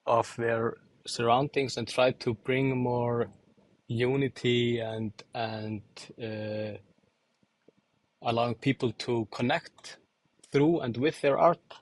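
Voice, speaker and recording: A young man from Iceland and Angola answering interview questions thoughtfully, with many fillers and pauses. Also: medium pitch, fairly even intonation, dry recording. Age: young adult. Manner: hesitant, many fillers and restarts. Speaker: podcast guest, musician and designer.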